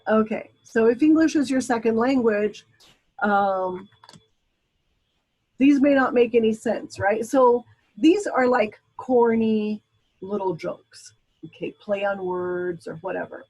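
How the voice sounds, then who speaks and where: A woman's voice: fairly high pitch, takes it slowly, a little mellow, slightly rough, poor audio. A woman from Brazil teaching a class in a casual, chatty lecture style, with frequent ums and asides. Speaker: instructor.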